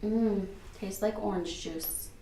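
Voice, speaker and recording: An actress playing an impatient, skeptical doctor in a radio play, wry and challenging. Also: female voice, medium pitch, fairly even intonation, takes it slowly, distant mic. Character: skeptical, impatient female doctor, protective of patients. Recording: very echoey, off mic, loud music.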